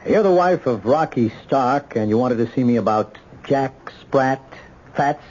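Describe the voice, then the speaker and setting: An American actor in a radio mystery playing a measured, skeptical police lieutenant who talks in a hesitant, conversational way. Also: male voice, mid-range voice, animated, darkish tone, close to an everyday voice. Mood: courteous. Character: measured, skeptical male police ballistics lieutenant, helpful underneath. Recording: dull, noisy old radio broadcast.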